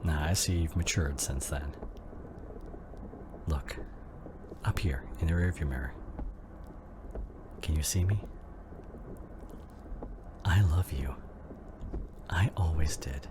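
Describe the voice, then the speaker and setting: A man's voice: extremely low pitch, slow pace, pauses often, background noise. A man acting a devoted, worried husband in an emotional ASMR drama, speaking intimately and breathily, often half-whispering. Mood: worried, affectionate. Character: devoted, worried husband comforting his injured wife.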